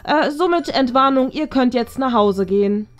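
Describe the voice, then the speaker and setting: Woman as apologetic school official on announcements, high-pitched, formal, hesitant delivery. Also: lively intonation, normal speed. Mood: apologetic, reassuring. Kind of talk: school announcement in a comedy skit.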